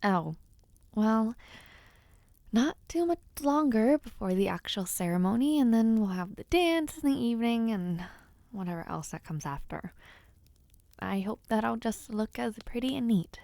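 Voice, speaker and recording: A young noblewoman, played by a woman in an intimate audio roleplay, talks slowly and playfully in a close, dry recording. She is teasing yet bashful, pausing hesitantly, with a creaky fry in her voice. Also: fairly high pitch, animated, warm tone, no echo. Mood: wistful. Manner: playful, hesitant, with pauses and sighs. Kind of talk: intimate audio roleplay, one-sided conversation.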